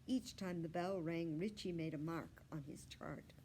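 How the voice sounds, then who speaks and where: A woman reading a children's storybook aloud in an animated, expressive way. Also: on the low side, measured pace, breathy edge.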